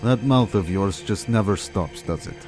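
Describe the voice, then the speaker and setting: Guy acting cold sadistic villain, low n slow, taunting, radio play w music behind. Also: close to an everyday voice. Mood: sly menace. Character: sadistic male torturer villain, cold and mocking.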